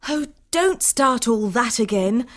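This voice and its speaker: Story role: actress as sweet-natured young peacemaker, very high voice, unhurried, full of lilting swings. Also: female voice, animated. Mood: flustered. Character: kind, romantic young woman, about twenty-two, conciliatory. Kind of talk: acted audiobook dialogue.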